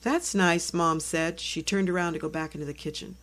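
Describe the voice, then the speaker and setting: A woman's voice: deep voice, level tone, brisk, a bit bright. A woman narrating a read-aloud children's book for early readers in short, separated sentences.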